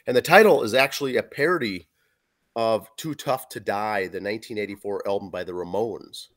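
A hoarse man in his forties co-hosting a podcast over a video call, reading album facts with fillers. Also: lowish voice, fairly quick, a bit bright. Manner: reads facts and track lists with fillers. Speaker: podcast co-host reviewing an album. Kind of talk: casual podcast chat, reading notes. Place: small room at home. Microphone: video call webcam.

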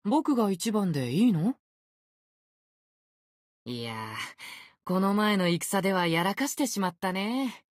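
A man's voice: high-pitched, very animated, slow, pauses often, dry recording, clean studio sound, full character voice. A man voicing an easygoing, unfazed ancient sword spirit in youthful male form for a game, with languid, nonchalant phrasing. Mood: airy, nonchalant. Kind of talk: Japanese game character voice acting.

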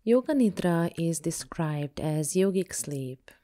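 A woman yoga teacher leading a guided meditation with soothing, measured, unhurried instructions. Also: lowish voice, expressive, dark tone.

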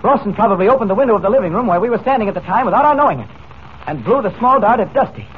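An actor playing a level-headed investigator hero, reasoning in a matter-of-fact way in a 1940s radio serial. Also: male voice, high voice, animated, very fast. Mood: probing, serious. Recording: tinny, noisy 1940s radio broadcast.

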